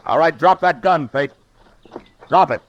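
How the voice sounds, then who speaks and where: An American actor playing a stern, weary, authoritative frontier marshal in an old-time radio western, speaking in a terse, commanding, dry way. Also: male voice, fairly high pitch, unhurried, dull audio. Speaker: actor playing the lead lawman and narrator.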